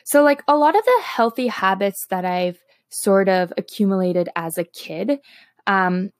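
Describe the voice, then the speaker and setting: A young Canadian woman, a native Slovak speaker, talks informally to her online followers. Her voice sits at an average pitch. She phrases things hesitantly and chattily, recorded dry with very little room sound. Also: lively intonation, unhurried, no echo. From Toronto, Canada. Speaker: health and wellness blogger. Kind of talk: casual chat to followers.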